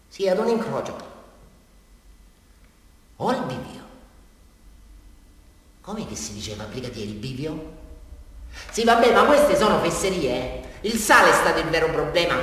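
A man's voice: high voice, bright, forceful tone, heavily acted. An actor playing an agitated small-town man with a southern Italian accent, rambling and repeating himself in a theatrical confession to a police sergeant. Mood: confused, brooding. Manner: rambling, repetitive, swinging from whispers to outbursts. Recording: very echoey hall, distant microphone.

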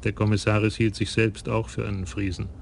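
A man narrating a radio crime play in a wry, measured storytelling manner. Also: on the low side, level tone, vocal fry, a little hiss or hum. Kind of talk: scripted radio drama narration.

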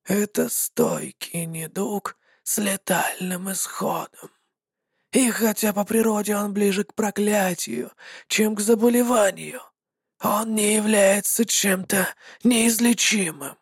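Woman doing a male warlock, sly, breathy, slow and unhurried, calm mockery, audiobook. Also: mid-range voice, no room sound, clean studio sound. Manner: smooth, calm and mocking.

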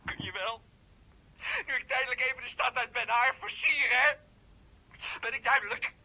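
Volatile, husky, sharp-voiced jilted boyfriend. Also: male voice, very high voice, moderate pace, exaggerated voice, low-quality recording. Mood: agitated, bitter. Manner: agitated, stammering, repeating himself. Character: volatile jealous young man in therapy, jilted boyfriend. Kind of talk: naturalistic radio play acting.

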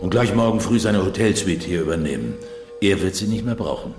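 A man's voice: sits low, lively intonation, a little fast. An actor playing a tough, menacing Soviet policeman in a German radio drama, hard and mocking. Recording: echoey room, music behind. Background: music.

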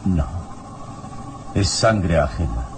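Radio-play adventurer hero, very low voice, dramatic swoops, solemn authority, music behind. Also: male voice, lively intonation, drawn-out words, gravelly, background noise, full character voice. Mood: ominous. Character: calm, commanding heroic adventurer, grave and assured. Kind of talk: Spanish-language radio serial acting.

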